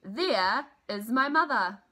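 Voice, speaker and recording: A woman's voice: fairly high pitch, expressive, takes it slowly, sharp tone, full character voice. A woman reading a storybook, voicing a small lost male baby bird, earnest and pleading. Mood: excited calling.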